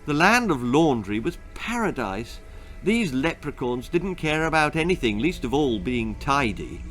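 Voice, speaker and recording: Brisk male storyteller. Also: mid-range voice, very animated, a bit bright, faint music behind. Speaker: author reading his own story. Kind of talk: dramatic children's storytelling.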